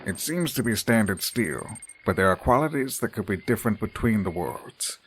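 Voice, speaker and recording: A man voicing a polite scientist who explains things in a calm, measured way. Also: on the low side, a little hiss or hum.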